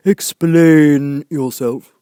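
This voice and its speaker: A man voicing a stern, sleepy storybook caterpillar who speaks very slowly and curtly, drawing out the words with disdain. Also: fairly high pitch, steady tone, warm tone, clean tone, no echo, studio quality, voice pushed up. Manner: very slow, drawn-out, curt delivery. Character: languid, sleepy, stern, contemptuous hookah-smoking caterpillar.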